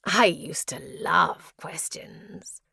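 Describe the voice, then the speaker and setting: A woman voicing a deranged, delighted male prisoner in his forties in an audiobook story, breathy and erratic in his exclamations. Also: on the low side, very slow, sharp tone, no room sound, clean studio sound, exaggerated voice. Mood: sly delight.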